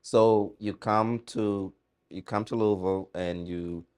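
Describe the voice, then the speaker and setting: A man hosting a local restaurant interview in a friendly, brisk way, with many short replies while his guest talks. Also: fairly low pitch, steady tone, a little mellow. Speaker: host. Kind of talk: casual interview.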